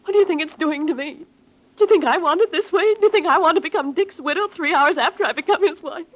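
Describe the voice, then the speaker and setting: An actress playing a devoted, distraught young bride-to-be in old-time radio melodrama, earnest and dramatic. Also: female voice, extremely high pitch, fast. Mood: anguished, defiant. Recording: muffled vintage radio recording.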